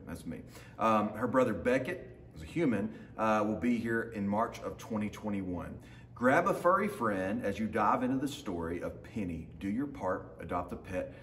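A man in his thirties reading a children's picture book aloud to students as their teacher, with short asides to his listeners. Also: fairly low pitch. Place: large open indoor lounge with hard floor. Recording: roomy echo, faint background noise.

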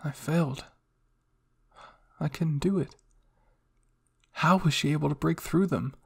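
A desperate, self-doubting fighter's inner voice acted by a man in an audio anime story. It is intense and strained, with a gravelly, husky grain. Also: a little high, unhurried, full character voice. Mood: dismayed. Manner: intense, swinging from whispers to strained cries.

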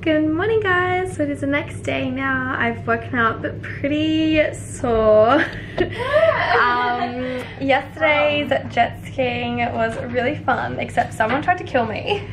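A bubbly young woman talks straight into a camera she holds at arm's length, her voice sitting a little high. She is chatty and quick to laugh. Noisy outdoor camera sound runs under her voice. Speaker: vlogger. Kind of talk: casual travel vlog to camera. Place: tropical resort, outdoors and hotel room. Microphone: camera held at arm's length.

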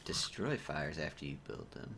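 A man's voice: rumbling low, big pitch swings, moderate pace, vocal fry, crowd noise. A man in his thirties with an American accent, joking playfully while streaming a co-op game on a headset. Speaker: gaming streamer. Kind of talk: casual co-op gameplay chat. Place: indoor room at a computer.

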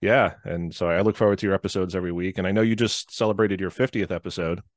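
Deep nasal man, dry close sound. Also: fairly even intonation, fast, no echo. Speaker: podcast guest. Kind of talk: casual chat over a video call. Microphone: headset and podcast microphone on video call.

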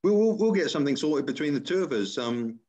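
A middle-aged man with a slightly high voice talks over a dull, lo-fi video call. He is an encouraging coach in casual chat, and he repeats key phrases to drive them home. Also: expressive, fast talker, warm tone. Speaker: personal trainer and interviewer. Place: small room indoors. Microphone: computer on a video call.

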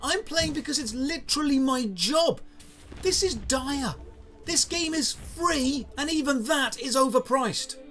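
A man's voice: extremely high pitch, lively intonation, measured pace, a bit bright, soft background music. A British man in his thirties narrating a scripted game review in a sardonic, critical manner. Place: small room lined with game shelves.

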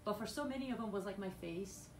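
Gravelly-voiced woman from Bosnia. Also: medium pitch, quick pace. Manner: chatty, frequent restarts and fillers. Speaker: weight loss client guest. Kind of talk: candid radio interview. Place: radio studio with windows. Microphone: boom mic, seen from afar. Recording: echoey studio, far camera mic, noisy.

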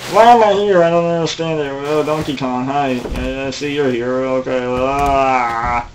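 A man's voice: medium pitch, normal speed, background noise, close to an everyday voice. A man voicing a wisecracking male antihero who talks in a flippant, teasing way. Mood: puzzled.

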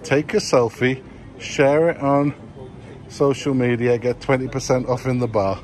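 A man's voice: medium pitch, fairly even intonation, a little slow, darkish tone. A man narrating a walking tour of a food court, exclaiming with enthusiasm over the dishes. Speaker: vlogger touring a food court. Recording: noisy outdoor street recording.

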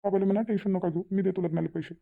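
A man's voice: sits high, steady tone, racing, creaky, narrow, tinny audio. A man with a Varhadi Marathi accent voicing a devoted, insistent young fiance in a cartoon family drama. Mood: insistent, reassuring. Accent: Varhadi Marathi from Vidarbha. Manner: colloquial, emphatic repetition.

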